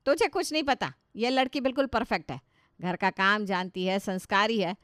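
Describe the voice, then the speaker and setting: A woman's voice: mid-range voice, moderate pace, fairly bright tone, dry recording, studio quality, natural voice. A woman voicing a bossy middle-aged Indian mother in a story, firm, scolding and emphatic. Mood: insistent. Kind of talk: animated storybook dialogue.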